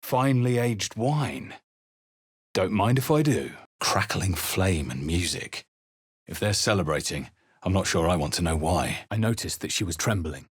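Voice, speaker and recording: A guarded, observant fantasy game hero, with a low, slightly husky voice. He speaks in measured, dramatic phrases with crisp enunciation and a dry, close studio sound. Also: very animated, no echo, heavily acted. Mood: wry, musing. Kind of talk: scripted game voice lines and narration.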